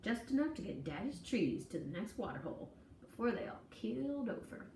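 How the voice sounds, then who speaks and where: A woman reading a children's picture book aloud in an expressive, folksy, dramatic storytelling manner. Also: fairly high pitch, brisk. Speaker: storybook reader. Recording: echoey room, distant mic, faint noise.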